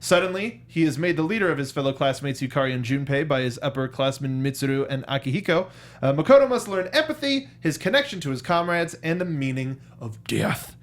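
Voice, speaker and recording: Male review-show host, American, slightly high-pitched, unscripted and conversational, halting with repeats. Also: big pitch swings. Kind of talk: unscripted film review chat.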